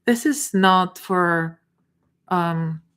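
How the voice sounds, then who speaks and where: A middle-aged woman with a Bulgarian accent, giving a live coaching talk in a halting, unscripted way, restarting and repeating words as she searches for them. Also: fairly low pitch, fairly bright tone, clean tone.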